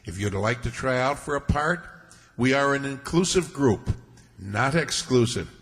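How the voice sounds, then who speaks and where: A man's voice: mid-range voice, expressive, measured pace, a bit bright, faint music behind. Inviting man speaking in an echoey hall. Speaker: troupe member inviting audience to join. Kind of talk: unscripted announcement to a live audience. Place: township hall stage.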